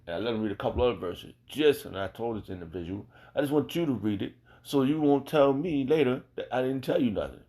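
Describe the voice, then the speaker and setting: A man's voice: medium pitch, lively intonation, fast, hardly any pauses, warm tone. A man with an American accent teaching scripture informally, in a colloquial, emphatic way, repeating phrases and checking in with rhetorical questions. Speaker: religious teacher.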